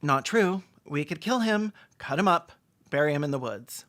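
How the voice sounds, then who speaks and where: A mid-pitched commentator delivers sarcastic film commentary. The talk moves between deadpan asides and mock-dramatic emphasis, played for comedy. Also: moderate pace. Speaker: video commentator.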